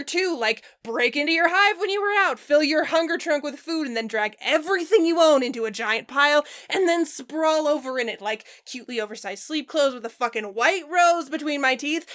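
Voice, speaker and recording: A woman's voice: sits high, fairly bright tone, pitched above the performer's own voice. A woman voicing a self-deprecating, lovestruck young male alien troll who blurts in slangy run-on streams. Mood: flustered rambling.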